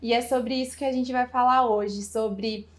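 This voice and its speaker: A woman's voice: on the high side, steady tone, moderate pace. A woman hosting an informal video interview, speaking effusively and directly to viewers. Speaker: host interviewing a guest. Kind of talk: informal video channel introduction and conversation.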